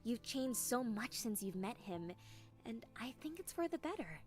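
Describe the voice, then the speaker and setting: A woman voicing an earnest, caring cartoon pony friend, measured and sincere. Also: fairly high pitch, big pitch swings, faint music behind. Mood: warm, reassuring.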